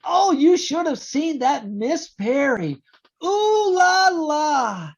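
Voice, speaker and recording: A man's voice: very high-pitched, sing-song, gravelly, full character voice. A man playing a gushing, cheeky teenage schoolboy in old-time radio comedy style over a video call. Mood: excited. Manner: exaggerated, gushing, slow drawn-out delivery.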